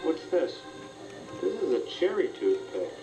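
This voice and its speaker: A man's voice: a little high, big pitch swings, a little slow. A man speaking short scripted lines in a comic television skit, recorded with echo and from a distance. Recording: echoey, distant TV audio under music.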